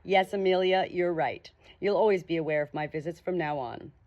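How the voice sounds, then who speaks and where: A woman's voice: fairly low pitch, muffled recording, natural voice. A woman voicing a creepy, smug male ghost in an audiobook, condescending and theatrical, drawing out his words. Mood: cool, cocky. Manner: condescending, drawn-out, dramatic delivery.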